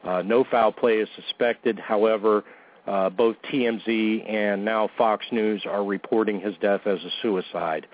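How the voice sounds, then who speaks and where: A man hosts a casual talk show, cracking jokes at his own expense between plugs and news. His voice sits on the low side. The sound is thin and boxy, like a phone line. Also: fairly even intonation, a little slow, slight background noise. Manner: goofy, joking, self-deprecating. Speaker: podcast host. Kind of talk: casual radio-show banter and announcements.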